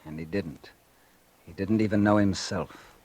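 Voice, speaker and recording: A bitter revenge-driven narrator, low husky voice, old radio feel with faint music. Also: male voice, a little slow, darkish tone. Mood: brooding. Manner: brooding first-person narration, low and confiding. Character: bitter vengeful man narrating his story. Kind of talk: 1940s radio acting.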